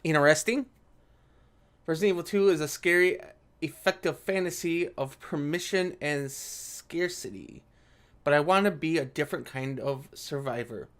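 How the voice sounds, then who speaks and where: A man with a slightly high voice gives casual, off-the-cuff commentary as a video host. He sounds sarcastic and argumentative, breaking away from reading aloud to make his own pointed remarks. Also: normal speed.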